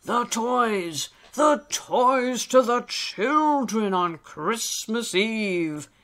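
A woman voicing a jolly, kindly old male elf in a children's story, with a hearty, drawn-out delivery. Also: fairly high pitch, animated, a bit bright, heavily acted. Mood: jolly, inviting.

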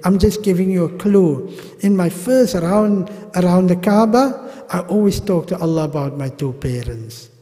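A middle-aged man with a South African accent teaches a religious class in a large mosque hall, speaking slowly into a podium mic. His voice sits high but mellow, and the long reverb of the hall trails behind each phrase. He is animated, gesturing widely and slipping in humorous asides. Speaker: sheikh teaching a pilgrimage class. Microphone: gooseneck podium mic.

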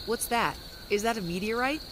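A woman's voice: a little high, moderate pace, fairly bright tone, a little hiss or hum, close to an everyday voice. A woman playing a curious, chatty young woman in an amateur audio drama, speaking in an inquisitive, conversational way.

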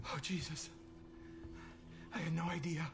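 An actor playing a hard-bitten US marshal on the run in a cinematic video game, gritty and urgent. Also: male voice, fairly high pitch, flat delivery, measured pace, gravelly. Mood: grim, determined. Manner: gritty, urgent, swearing under his breath. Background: game music.

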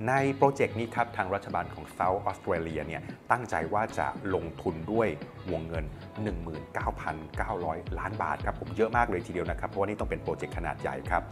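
A male news presenter with a low-pitched voice explains a report in a conversational, polite style. Prominent music plays behind him as he talks. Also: sing-song, a little fast. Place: small indoor studio at a desk. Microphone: clip-on lapel mic. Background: background music.

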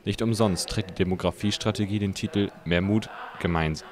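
Male reporter for scripted news voice-over, low-pitched. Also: steady tone, brisk. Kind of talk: scripted narration.

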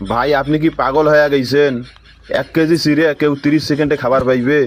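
A man's voice: a little high, steady tone, a little fast, natural voice. A man with a Rangpur Bangladeshi accent voicing a hungry, exasperated phone-company worker who complains bluntly in a comic cartoon.

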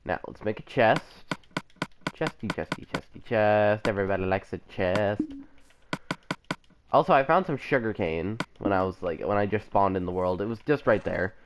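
A man's voice: on the low side, moderate pace, background noise. A young man rambling casually while playing a game, still sick with a cough and the flu. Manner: rambling, chatty, self-correcting. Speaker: gameplay commentator. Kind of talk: casual let's play commentary.

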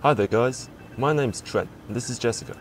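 A man plays a young cartoon shop clerk, courteous and salesy. His pitch is middling, and faint noise sits behind the voice. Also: brisk, natural voice. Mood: helpful, salesy. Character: polite, smooth-selling young male store clerk.

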